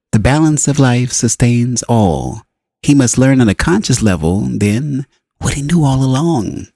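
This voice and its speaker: A man reading a spiritual book as scripted narration, in measured, emphatic phrases broken by short pauses. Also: lowish voice, dark tone, no echo, clean studio sound. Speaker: audiobook reader.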